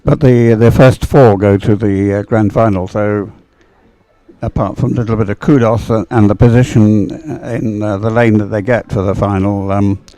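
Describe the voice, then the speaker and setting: A man's voice: fairly low pitch, steady tone, normal speed, warm tone, dry recording, poor audio. A man working as a live sports co-commentator, talking conversationally in musing, broken phrases. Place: open-air commentary position.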